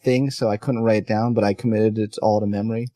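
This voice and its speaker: A man hosting a casual conversational podcast, with halting, self-correcting phrasing. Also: lowish voice, steady tone, warm tone, dry recording, clean studio sound. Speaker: podcast host.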